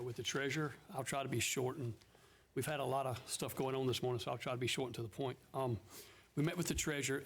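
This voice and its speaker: Guy on a county council, walks through a finance report step by step, steady. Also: medium pitch, slight background noise. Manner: methodical, explains step by step. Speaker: council member. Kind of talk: committee report at a council meeting.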